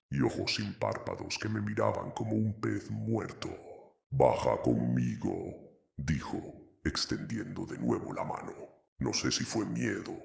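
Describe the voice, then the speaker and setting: Deep, gravelly horror narrator. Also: male voice. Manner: dramatic, ominous storytelling.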